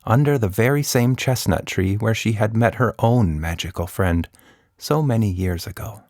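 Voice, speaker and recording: A man's voice: lowish voice, normal speed, a little mellow, no room sound, studio quality. A man narrating a scripted children's story as a podcast storyteller.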